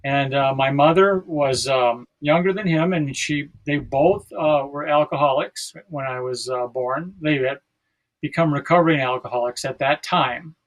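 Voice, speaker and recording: A man's voice: on the high side, normal speed, highs cut off. An American man in his sixties from South Dakota, talking casually and reflectively as a podcast guest, often searching for his words. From South Dakota, United States.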